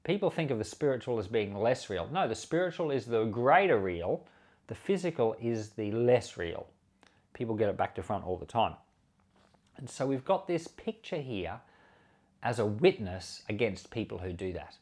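A man's voice: on the low side, sing-song, moderate pace, darkish tone. A man giving an informal sermon commentary on scripture as a pastor, earnest and conversational, leaning hard on key words. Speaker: pastor and Bible teacher.

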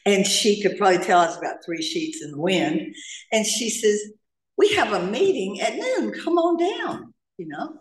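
A woman's voice: mid-range voice, sing-song, moderate pace, far from the mic. A woman from North Carolina, telling her own recovery story with humor to a meeting. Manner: humorous storytelling, laughs often. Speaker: AA meeting speaker. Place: church meeting hall. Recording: echoey hall, speaker off-mic.

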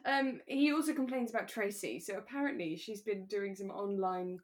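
Female podcast co-host, medium pitch, informal and conversational, working out thoughts aloud. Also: moderate pace. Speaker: actor. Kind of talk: relaxed casual chat about a radio drama.

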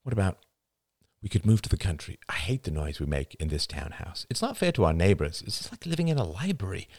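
A man's voice: low-pitched, very animated, quick pace, breathy edge, no echo, studio quality, heavily acted. A man voicing an anxious, earnest young father who pleads with feeling in an audiobook reading. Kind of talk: audiobook dialogue.

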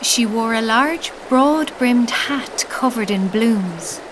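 A woman's voice: on the high side, animated, takes it slowly, noisy background. A woman narrating a children's fairy tale as a storyteller in a scripted audio drama. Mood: storytelling.

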